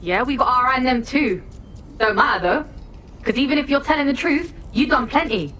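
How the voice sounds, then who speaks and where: An actress playing a young streetwise hacker activist with a London accent, blunt and slangy, in a video game. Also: female voice, high-pitched, brisk, fairly bright tone, slight background noise. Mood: confrontational.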